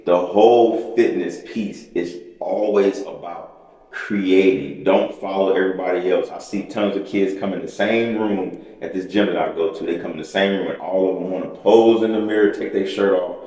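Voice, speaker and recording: A man with a very low, deep voice talks shop like a personal trainer on a podcast. He circles back on his points in a rambling, motivational way. The room is hard and echoey, with a long trailing reverb. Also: steady tone, normal speed, warm tone. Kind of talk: casual podcast chat.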